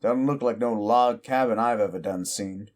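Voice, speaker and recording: A man voicing an ancient, petty male demon who mocks a mortal in a slow, deep drawl, in audio roleplay. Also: lightly acted. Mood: taunting.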